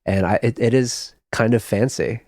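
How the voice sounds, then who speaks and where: A man's voice: medium pitch, steady tone, moderate pace, a little mellow, no echo, clean studio sound. A man from Chicago chatting casually on a podcast, rambling with fillers and restarts. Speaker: podcast guest, musician. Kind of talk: casual chat.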